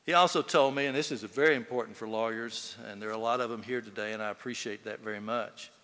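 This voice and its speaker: Man, bright forceful voice, folksy storyteller, eulogy speech, emphatic, long hall reverb. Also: lowish voice, steady tone, fast talker, a little hiss or hum. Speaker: eulogy speaker, fellow lawyer.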